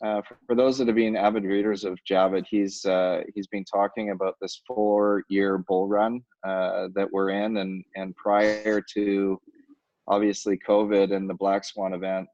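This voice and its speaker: Low flat-voiced man on a call. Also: no room sound. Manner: halting, many fillers and restarts. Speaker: financial advisor. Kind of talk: conference call market update. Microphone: phone or conference line. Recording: dull lo-fi conference call audio.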